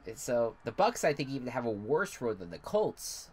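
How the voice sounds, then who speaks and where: A man's voice: medium pitch, big pitch swings, moderate pace, breathy edge. A congested young man rambling and swearing casually while commentating a football video game. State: congested, stuffy nose. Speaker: gaming commentator.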